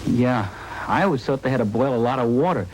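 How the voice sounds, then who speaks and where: An actor playing an anxious, folksy young husband with a hesitant way of talking in a 1950s radio drama. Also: male voice, medium pitch, lively intonation, fast talker, lightly acted. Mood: anxious, hopeful. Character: anxious young husband awaiting his wife's childbirth. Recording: noisy old-time radio recording.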